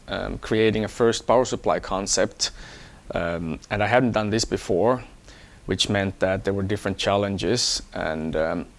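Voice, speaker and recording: Guy from hong kong, lowish voice, engineer explaining stuff about himself in a measured way, staff profile type talk. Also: vocal fry. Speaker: electronics engineer. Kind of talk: first-person employee profile talk.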